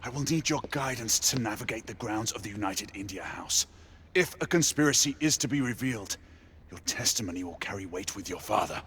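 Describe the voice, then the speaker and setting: An actor playing a determined veteran knight of a secret order in a video game, speaking gravely and formally. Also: male voice, fairly low pitch, lively intonation, fairly quick, a bit bright, exaggerated voice. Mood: grave, conspiratorial. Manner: grave, formal, clipped phrasing. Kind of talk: cinematic video game voice acting.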